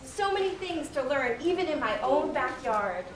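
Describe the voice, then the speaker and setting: A woman's voice: high-pitched, big pitch swings, normal speed. A woman voicing a curious young girl full of wonder in a live children's puppet show. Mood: inspired. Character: wide-eyed, curious young girl exploring nature. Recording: echoey distant hall with loud audience noise.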